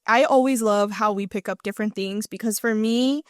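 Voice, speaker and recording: A woman's voice: medium pitch, moderate pace, clear, resonant tone, dry recording, clean studio sound. A woman co-hosting a podcast, giving her take on films in an informal, slangy, chatty style. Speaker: podcast co-host. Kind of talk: casual film review chat.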